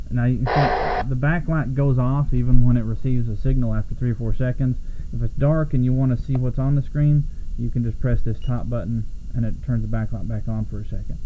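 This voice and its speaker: A man's voice: mid-range voice, dark tone. An American man giving a casual, unscripted product overview in a rambling, self-correcting way. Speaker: product reviewer. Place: small home room, at a desk. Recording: muffled lo-fi camera audio, background noise.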